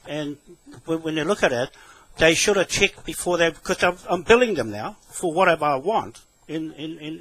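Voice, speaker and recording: A man with a New Zealand accent talking on the telephone in a halting, repetitive, rambling way. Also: a little high, fairly bright tone, poor audio. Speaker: caller with a complaint. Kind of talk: phone conversation.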